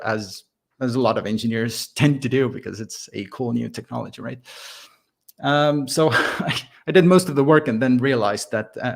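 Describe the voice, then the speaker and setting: A man's voice: medium pitch, vocal fry. A man from Estonia with a light Estonian accent, speaking as a podcast guest in a casual, hesitant way with many fillers and restarts. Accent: Estonian, softened by living abroad. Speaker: podcast guest, software founder. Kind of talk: casual interview talk.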